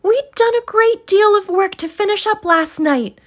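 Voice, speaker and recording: A woman's voice: very high-pitched, unhurried, voice pushed up. A woman voicing an eager young working girl greeting her mother.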